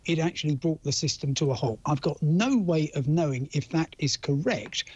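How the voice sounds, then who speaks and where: A British man speaking as a travel reporter in a live news interview, explaining in a halting, understated way. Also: on the high side, darkish tone, breathy edge, no echo. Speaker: travel journalist reporting as guest expert. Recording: dull lo-fi link.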